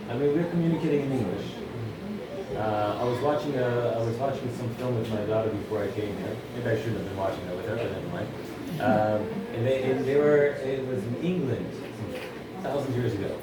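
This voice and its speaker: A man's voice: mid-range voice, a little fast. A man who grew up speaking Hebrew, teaching a group informally about scripture, often repeating and restarting words. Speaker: Bible teacher. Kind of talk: informal teaching talk with audience. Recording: echoey distant room recording, noisy.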